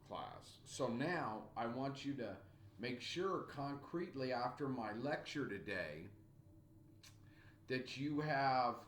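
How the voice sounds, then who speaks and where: Older man, writing teacher, slow deliberate lecturing, frequent throat clearing, webcam recording, echoey room, faint hiss. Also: mid-range voice. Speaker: college writing instructor. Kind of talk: recorded video lecture to a class. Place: small home room indoors. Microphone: webcam at desk.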